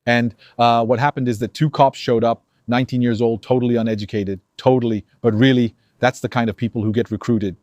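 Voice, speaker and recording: A man's voice: medium pitch, a little fast, hardly any pauses, no echo. A man from Algeria giving an emphatic opinion monologue to his viewers, acting out dialogues. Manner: animated, emphatic, acts out dialogues. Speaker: commentator.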